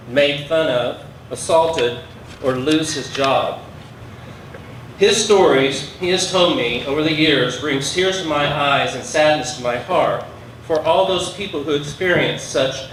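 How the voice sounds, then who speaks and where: Male speaker, earnest measured dedication speech, echoey outdoor sound with faint noise. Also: a little high, fairly bright tone. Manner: earnest, measured, reading from notes. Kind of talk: prepared public speech. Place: outdoor street gathering. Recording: echoey from far off.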